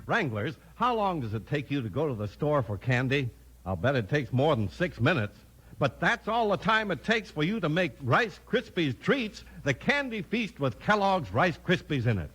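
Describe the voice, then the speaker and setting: Animated American radio announcer, male. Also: on the high side, moderate pace, husky, low-quality recording. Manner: dramatic, emphatic salesmanship. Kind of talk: 1950s radio announcing, scripted narration and advertising. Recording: vintage 1950s radio broadcast. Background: music.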